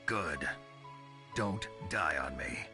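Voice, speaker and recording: An actor playing a terse, stoic, hardened soldier in a video game drama, with a gruff, gravelly voice. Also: male voice, a bass voice, animated, slow pace, full character voice. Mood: earnest. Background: game music.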